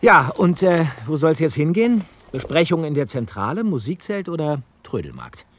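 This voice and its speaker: A man's voice: a little high, moderate pace. A man playing an eager, well-informed young amateur detective in an audio drama, speaking in an emphatic way. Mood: upbeat. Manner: lively, emphatic. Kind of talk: German audio drama acting. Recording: loud outdoor traffic ambience.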